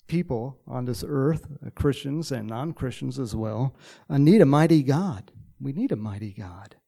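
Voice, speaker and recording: Folksy gravelly-voiced pastor with a light Texan drawl. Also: male voice, mid-range voice, mellow. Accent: slight Texan. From Texas. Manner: folksy, rambling, with many fillers. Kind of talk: casual storytelling sermon.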